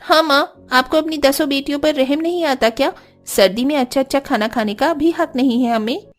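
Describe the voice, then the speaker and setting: A woman's voice: sits high, moderate pace, soft background music, natural voice. A woman voicing a whiny, pleading, dramatic young daughter in a cartoon. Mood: whiny, coaxing. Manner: pleading, whining.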